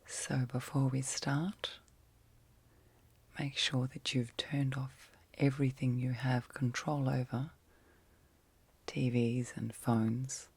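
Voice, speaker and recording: Slow, whispery woman guiding sleep meditation. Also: extremely low pitch, little pitch movement, no room sound. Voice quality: soft, breathy, often whispered. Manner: gentle, soothing, long pauses between short phrases. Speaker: guided meditation host. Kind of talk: ASMR guided sleep meditation.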